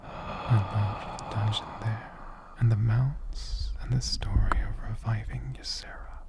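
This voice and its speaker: A man in a dragon persona, whispering softly and rambling fondly as he answers viewer questions for an ASMR video. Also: lowish voice, steady tone, slow pace. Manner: soft-spoken, rambling, fond.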